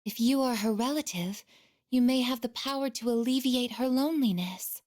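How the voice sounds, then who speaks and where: A woman voicing a gentle, earnest young female android in a video game, with measured, formal, softly hesitant phrasing. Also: on the high side, lightly acted. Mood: earnest questioning. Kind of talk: English video game voice acting.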